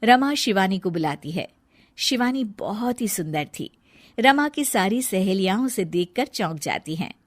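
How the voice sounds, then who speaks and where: A woman's voice: medium pitch, lively intonation, a little slow. A woman narrating a scripted children's story as a storyteller.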